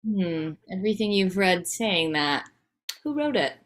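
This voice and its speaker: A woman's voice: medium pitch, big pitch swings, unhurried. A woman playing a wry, candid wife and mother in a sitcom table read, dry and teasing.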